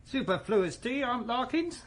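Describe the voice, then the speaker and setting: A man's voice: very high voice, expressive, a little slow, a little hiss or hum. An actor with an English accent playing a whimsical, talkative Edwardian shopman in a radio drama, playfully florid with invented long words. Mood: jovial.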